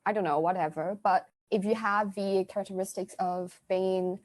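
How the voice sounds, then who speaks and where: A woman's voice: mid-range voice, fairly even intonation, moderate pace, a little mellow, no echo. A young woman speaks in a casual podcast chat, weighing her words and laughing easily between thoughts. The recording is dry and close, with no room sound around her. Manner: thoughtful, searching for words, giggly. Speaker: theatre group member.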